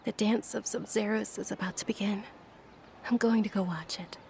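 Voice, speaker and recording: A woman voicing a polite, gentle young woman in a video game. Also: medium pitch, fairly even intonation, normal speed, vocal fry, slight background noise, close to an everyday voice. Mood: pleasant.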